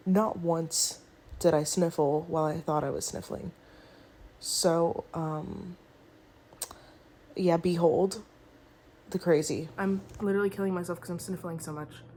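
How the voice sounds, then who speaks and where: A woman's voice: on the low side, mellow. A young woman vlogging in casual, rambling chat, sniffly and full of "like". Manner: rambling, chatty, heavy use of "like".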